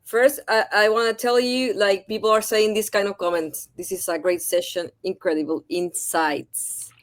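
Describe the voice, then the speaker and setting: Casual female co-host, medium pitch. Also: steady tone, normal speed. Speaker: co-host relaying audience comments. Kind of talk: casual live-session chat.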